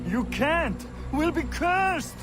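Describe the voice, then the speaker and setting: An actor voicing a frightened, superstitious soldier in a video game, stammering in panic. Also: male voice, very high-pitched, big pitch swings, slow pace, noisy background, heavily acted. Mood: terrified.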